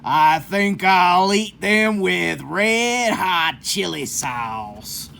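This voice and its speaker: A woman voicing a sly, hungry male coyote villain from a storybook, with drawn-out, theatrical delivery. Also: on the low side, expressive, creaky, a bit bright, slight background noise, pitched above the performer's own voice, exaggerated voice. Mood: sly, greedy. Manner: drawn-out, theatrical calls and threats. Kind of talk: storybook read-aloud character voice.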